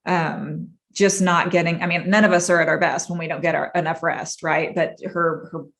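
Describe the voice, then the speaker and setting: A woman from Massachusetts, a clinician, speaking as an interview guest in a reflective, earnest and measured way. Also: on the low side, fairly even intonation, fairly bright tone. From Massachusetts, USA. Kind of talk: personal storytelling in an interview.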